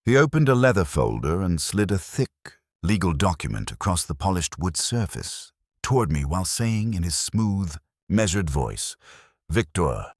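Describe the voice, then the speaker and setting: A man with a very low voice narrates a scripted story. His delivery is measured and formal, yet the pitch moves up and down in lively fashion. The sound is dry and close, with little room in it. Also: no echo.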